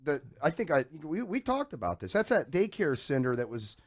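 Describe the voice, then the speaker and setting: Man interviewing with very lively intonation. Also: on the high side, brisk. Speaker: podcast interviewer. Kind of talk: casual conversational interviewing.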